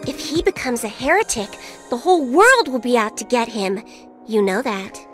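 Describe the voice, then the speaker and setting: A woman's voice: fairly high pitch, sing-song, moderate pace, fairly bright tone, faint music behind. A woman voicing a small, cheeky female fairy in a video game, blunt, flippant and teasing. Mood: challenging. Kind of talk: video game cutscene acting.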